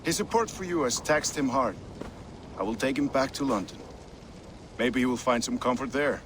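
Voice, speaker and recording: Man acting worried loyal companion, medium pitch, serious n gentle, game drama in rain noise. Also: moderate pace, close to an everyday voice. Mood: concerned. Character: concerned, caring companion of a troubled friend. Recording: clear rainy ambience noise.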